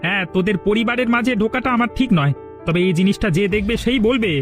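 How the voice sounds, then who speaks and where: A man's voice: high-pitched, fast talker, faint music behind, lightly acted. A man voicing an upright, reproachful village friend in a melodramatic cartoon, earnest and scolding.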